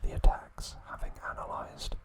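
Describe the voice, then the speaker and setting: A man whispering a calm ASMR recap, narrating softly with occasional fillers and self-corrections. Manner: soft, slow, unvoiced whispering close to the mic. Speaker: ASMR channel host.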